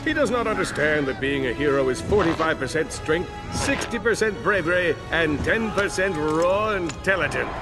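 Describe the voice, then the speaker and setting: Pompous cartoon superhero, very animated. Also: male voice, fairly high pitch, normal speed. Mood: grandiose. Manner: bombastic, self-important. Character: pompous, vain, dim-witted muscleman superhero. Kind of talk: animated game cutscene acting. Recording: music behind voice.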